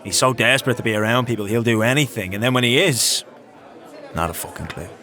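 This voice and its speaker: An actor playing a cynical, coarse, world-weary male scavenger in a dark fantasy audio drama, blunt and foul-mouthed. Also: medium pitch, fast, some chatter behind, close to an everyday voice. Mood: jaded, bitter. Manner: blunt, profane, jaded.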